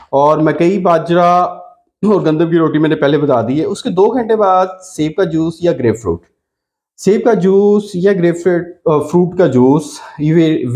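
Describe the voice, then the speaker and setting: A Pakistani-accented man speaks in a slightly high register, like a doctor advising followers directly. His delivery is informal and instructive, and he repeats important points for emphasis. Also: warm tone. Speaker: doctor giving diet advice. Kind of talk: informal instructional health talk to camera.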